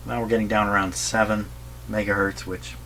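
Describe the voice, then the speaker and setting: A man's voice: on the low side, level tone, brisk, sharp tone. A man giving casual workbench commentary as he tests a radio, often muttering to himself as he goes. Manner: muttering to himself while working. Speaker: radio repair hobbyist presenting. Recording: noisy workbench recording with electrical hum.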